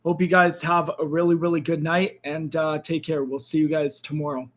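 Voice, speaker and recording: A man hosting a crypto trading livestream, speaking casually to viewers, hoarse and tired as his voice gives out. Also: flat delivery, moderate pace, a bit bright. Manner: tired, low-energy sign-off with frequent fillers.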